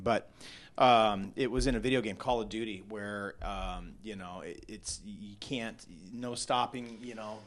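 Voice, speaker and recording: Excitable middle-aged male podcast host. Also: fairly low pitch. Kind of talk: casual chat.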